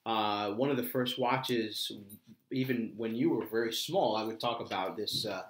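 A middle-aged American man chatting casually in a halting, reflective way, often repeating words. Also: on the low side, slight background noise. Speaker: co-host, the father. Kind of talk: casual chat. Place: small room indoors, seated at a table. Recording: slightly echoey room.

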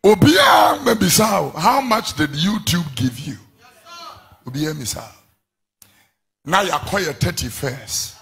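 A middle-aged man with a Ghanaian accent, a pastor preaching a fiery sermon into a handheld mic in a large church hall, shouting in sing-song refrains. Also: a little high, expressive, unhurried, creaky, pauses often, husky.

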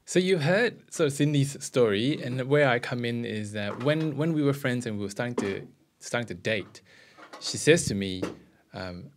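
A man's voice: medium pitch, a little hiss or hum. A man in his thirties from Australia, explaining and giving testimony as a missionary in an onstage interview with a handheld mic. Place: indoor stage with curtain backdrop. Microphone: handheld microphone.

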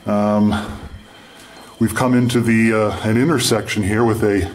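Low-voiced man giving casual exploring commentary. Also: flat delivery, a little hiss or hum. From Canada. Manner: halting, unscripted descriptions of surroundings. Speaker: mine explorer and video host. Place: underground in an abandoned mine tunnel.

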